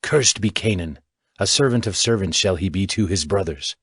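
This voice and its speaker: An aged, stern patriarch in a Bible audio drama. His voice is low and husky, recorded very dry. He declaims gravely, as one passing judgement. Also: normal speed, a bit bright, no room sound, exaggerated voice. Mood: stern, cursing. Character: Noah, stern old patriarch pronouncing judgement.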